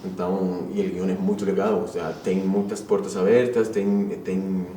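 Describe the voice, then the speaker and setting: A man's voice: low-pitched, little pitch movement, normal speed, mellow, roomy sound, far from the mic, a little hiss or hum. A man from Chile with a Chilean Spanish accent, an actor answering interview questions in Portuguese mixed with Spanish words.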